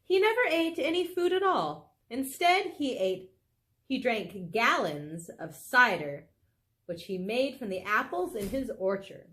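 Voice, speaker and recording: A woman reading a children's book aloud expressively, with animated, dramatic phrasing and casual asides. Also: a little high, unhurried. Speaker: storybook reader.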